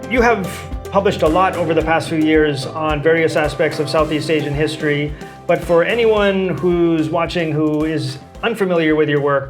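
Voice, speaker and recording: A man hosts an interview, introducing his guest in a friendly manner and stumbling now and then. His voice sits a little higher than most men's. Faint music plays behind him. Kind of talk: interview hosting.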